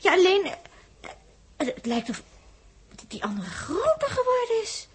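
A woman's voice: very high-pitched, wildly swooping pitch, unhurried, a little hiss or hum, full character voice. An actress playing an anxious young girl on a spaceship in a 1960s radio drama, plaintive and questioning. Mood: fretful, curious.

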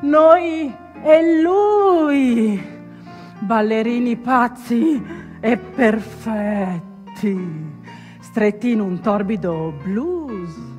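A woman acting as a ship's trumpet player, telling a wondrous memory as a dramatic, colloquial stage monologue with pauses and asides. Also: fairly high pitch, a little mellow. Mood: swept up, awed. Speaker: stage actor performing a theatre monologue. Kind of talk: theatrical storytelling monologue. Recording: long hall reverb, music behind.

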